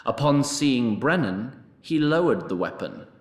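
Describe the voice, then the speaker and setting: A man giving scripted narration for a fantasy audio drama, in a wry and deliberate manner. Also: medium pitch, very animated, roomy sound.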